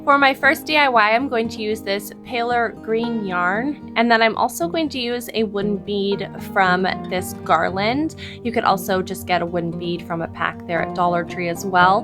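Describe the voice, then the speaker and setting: A woman in her thirties, a little hoarse from losing her voice, explaining a craft project step by step in a friendly voice-over. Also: mid-range voice. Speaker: crafting channel host. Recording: voice-over with background music.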